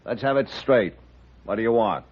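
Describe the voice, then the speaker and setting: An actor playing a blustering, cowardly railroad boss in an old radio western, husky, curt and edgy. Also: male voice, fairly low pitch, lively intonation, normal speed. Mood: irritated. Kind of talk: 1950s radio western acting. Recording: dull old radio recording.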